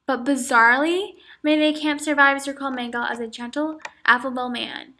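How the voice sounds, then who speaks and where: A young woman plays a grave female camp survivor, reciting her story in a reading-like way. Her voice is high and bright. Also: steady tone, fairly quick. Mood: recounting. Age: young adult. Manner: recites a scripted account, reading-like. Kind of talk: amateur mock interview role-play.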